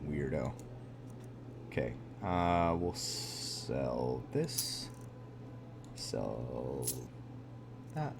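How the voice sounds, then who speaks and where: A man's voice: very deep voice, expressive, very slow, gravelly, long pauses, noisy background. A man giving casual, hesitant gameplay commentary, thinking aloud as he goes. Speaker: gaming video host. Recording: background music under close commentary.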